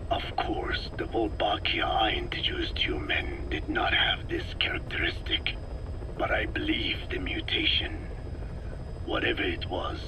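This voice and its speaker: An aged, frail scientist in a video game explains things gravely and patiently. His voice is whispered and heavy with fry, pitched on the low side. He sounds learned and measured, like a professor. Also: rough recording. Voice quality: breathy, whispery rasp. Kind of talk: scripted video game dialogue.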